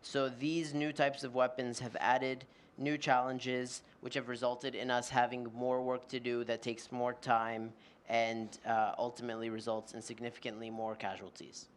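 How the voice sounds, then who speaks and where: A male interpreter relaying answers at a panel. He speaks in a level, even tone, measured and careful, with frequent fillers. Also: medium pitch, smooth.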